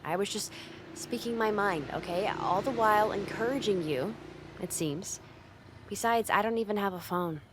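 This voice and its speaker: A woman playing a brash delinquent young woman in an ASMR roleplay, speaking straight to the listener in a blunt, informal way with halting hesitations, gruff but secretly caring. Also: medium pitch, lightly acted. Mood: flustered, guarded. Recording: clear background noise, outdoor street ambience.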